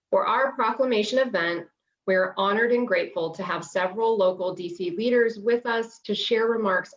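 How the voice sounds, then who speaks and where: Lady doing a formal scripted event intro, sounds kinda far from the mic and muffled. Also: mid-range voice. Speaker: event host introducing speakers. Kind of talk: scripted formal welcome speech.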